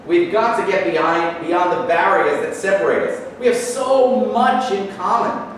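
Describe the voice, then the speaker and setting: A man's voice: sits high, unhurried. A man playing a fervent left-wing agitator who declaims like a preacher at a staged play reading. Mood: rallying. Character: fervent left-wing agitator rallying workers against the rich. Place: staged reading in an echoey room, distant mic. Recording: echoey noisy room from far off.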